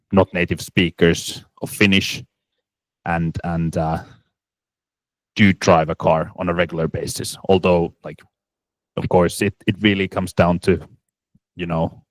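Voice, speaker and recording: A man with a very low, deep voice answers audience questions at a tech event, stumbling through restarts and filler words as he goes. His voice comes across close and dry, with no room sound. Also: no echo, poor audio. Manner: halting, many restarts and fillers. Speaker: startup presenter. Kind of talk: conference Q&A answer.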